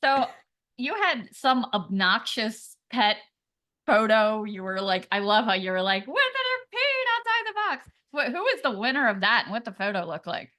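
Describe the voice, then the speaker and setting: A woman's voice: fairly high pitch, big pitch swings, moderate pace, pushed and bright. A woman co-hosting a podcast, asking guests questions and rambling about her cats. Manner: rambling, many "you know"s. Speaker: podcast co-host. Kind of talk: casual interview chat.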